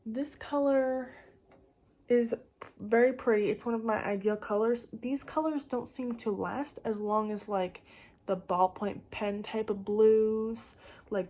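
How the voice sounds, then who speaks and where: Young female vlogger, impromptu and loose delivery, mid pitch, faint rasp, meandering sentences full of "like". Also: darkish tone. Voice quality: slightly raspy. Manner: rambling, filler-heavy with frequent "like". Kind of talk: casual impromptu chat to camera. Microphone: phone camera.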